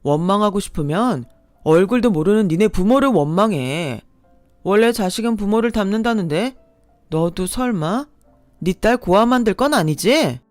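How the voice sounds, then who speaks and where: A woman's voice: medium pitch, lively intonation, moderate pace, darkish tone, dry recording, faint music behind, lightly acted. A woman voicing her younger self, an angry young single mother who answers back sharply.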